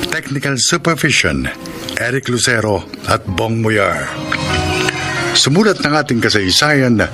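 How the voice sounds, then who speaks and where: A man delivers scripted radio drama announcements with a measured pace and lively, swelling intonation. Music plays clearly behind his dramatic narration. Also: medium pitch.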